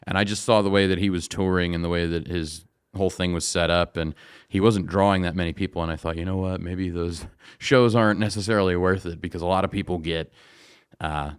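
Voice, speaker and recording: A man's voice: sits low, fairly even intonation, a little fast, clear, resonant tone, no echo, studio quality. A man telling stories casually as an interview guest, in a laid-back, conversational way. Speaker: interview guest, singer and musician.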